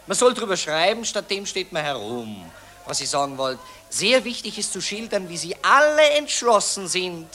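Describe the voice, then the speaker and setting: A self-important Viennese newspaperman in a 1940s radio play. His voice is high and forceful, with wide swings of intonation. He declaims florid patriotic prose with cynical grandeur. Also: big pitch swings, normal speed, exaggerated voice. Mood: brisk self-importance.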